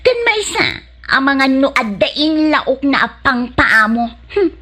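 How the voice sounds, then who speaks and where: Casting: actress as haughty teasing girlfriend, high voice, slow delivery, Ilocano radio comedy with music underneath. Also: big pitch swings, sharp tone, heavily acted.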